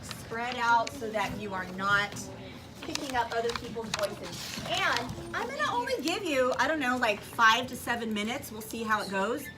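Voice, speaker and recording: A woman's voice: fairly high pitch, animated, moderate pace. A woman school teacher giving simple, directive step-by-step instructions to a class. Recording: noisy classroom full of children's chatter. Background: children talking and reading aloud.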